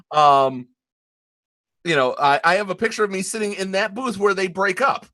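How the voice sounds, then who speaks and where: A man co-hosting a podcast in casual live-stream chat, halting, with many ums and restarts. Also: sits high, bright, forceful tone. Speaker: podcast co-host.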